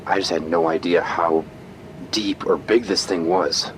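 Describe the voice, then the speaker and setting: A man's voice: low voice, steady tone, measured pace, fairly bright tone, a little hiss or hum, low-quality recording. A man playing a sardonic billionaire hero. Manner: sardonic, commanding. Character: cocky male billionaire hero leader.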